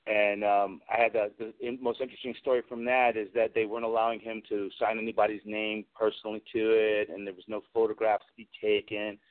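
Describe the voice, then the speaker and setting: A man's voice: on the low side, level tone, fairly quick, few pauses. A man chatting casually as an interview guest, telling rambling, amused anecdotes about his work as a prop maker. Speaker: guest, movie special effects and prop maker. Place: remote call into a radio show. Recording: lo-fi, phone-like internet radio call.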